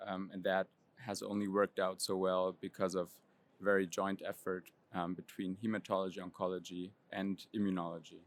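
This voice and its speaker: Man, very low voice, academic, measured and precise. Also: level tone. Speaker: immunology professor. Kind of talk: interview answers. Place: convention center floor.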